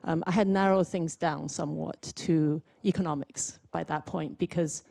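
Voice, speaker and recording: Low, mellow-voiced woman in her forties. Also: steady tone, moderate pace, vocal fry. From Zimbabwe. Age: 40s. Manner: self-deprecating, chatty, many fillers. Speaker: panel speaker. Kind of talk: informal presentation.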